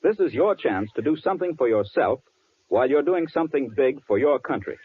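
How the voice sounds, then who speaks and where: Male wartime radio announcer: formal, earnest, emphatic, animated, slightly rough voice, narrow tinny broadcast sound. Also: mid-range voice, brisk. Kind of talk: 1940s wartime radio appeal. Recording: thin, phone-like 1940s radio broadcast.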